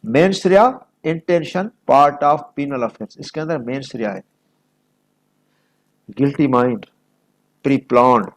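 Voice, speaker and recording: A man's voice: mid-range voice, rough recording. A man with a Pakistani accent giving an explanatory lecture, reading examples aloud and stressing key phrases as he switches between two languages. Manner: didactic, emphatic, switching between Urdu and English, repeating key phrases. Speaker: law lecturer.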